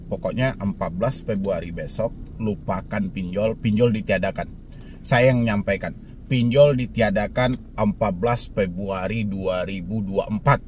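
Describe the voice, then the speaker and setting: A man with an Indonesian accent and a congested, slightly hoarse voice from a cold, hosting a casual vlog while walking slowly outdoors and urging his viewers. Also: mid-range voice, a little hiss or hum. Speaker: channel host giving debt advice.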